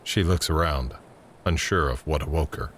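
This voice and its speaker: A man with an extremely low voice narrates an audio drama. He reads scripted scene headings and action as a storyteller setting each moment. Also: moderate pace, a bit bright, a little hiss or hum.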